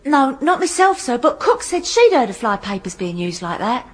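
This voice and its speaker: Housemaid witness: high, animated voice, deferential and plain-spoken, radio courtroom drama. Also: female voice, moderate pace. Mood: earnest, deferential. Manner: deferential, simple rustic grammar.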